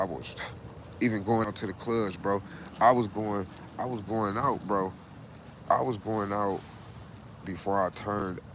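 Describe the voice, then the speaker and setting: A man's voice: lowish voice, a bit bright, slight background noise. A man in his late thirties hosting a casual livestream, talking loosely and profanely, repeating himself and saying "bro" a lot. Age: 30s. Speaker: livestream host.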